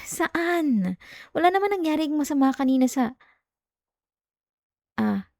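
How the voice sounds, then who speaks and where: Casting: woman as young jealous girlfriend, higher mellow voice, coaxing and whiny, intimate ASMR roleplay, close dry sound. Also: animated, takes it slowly, no room sound, full character voice. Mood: defensive, jealous. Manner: coaxing, whiny, with sighs and hesitations. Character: clingy, jealous young girlfriend, pleading and pouting. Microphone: close, dry microphone.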